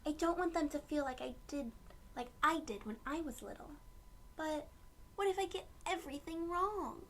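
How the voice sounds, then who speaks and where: A woman voicing a worried, earnest young boy in a storybook, asking hushed, anxious questions. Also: sits high, moderate pace, a little hiss or hum, voice pushed up.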